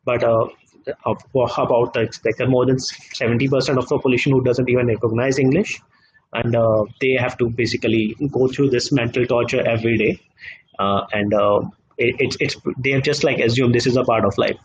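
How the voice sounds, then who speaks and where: Man, guest on a call, halting and repetitive delivery, dull lo-fi line. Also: mid-range voice, steady tone, breathy edge. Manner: halting, many fillers and repeated words. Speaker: guest economist. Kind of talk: live online discussion.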